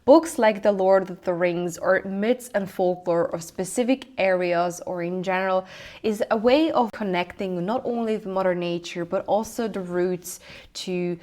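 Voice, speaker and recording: A young woman from Switzerland with a Swiss German accent, a lifestyle vlogger calmly introducing herself in gentle, reflective speech with slightly non-native phrasing. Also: medium pitch, moderate pace, faint music behind. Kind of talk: scripted personal introduction.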